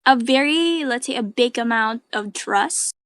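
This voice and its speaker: A woman giving measured, thoughtful advice in a casual online chat. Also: fairly high pitch, expressive, a bit bright, dry recording, studio quality.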